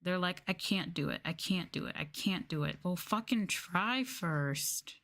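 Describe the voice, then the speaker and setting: Low, soft-talking young woman streamer. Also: unhurried, vocal fry. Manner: candid, mildly sweary. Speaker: VTuber streamer. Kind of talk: soft-spoken ASMR casual chat.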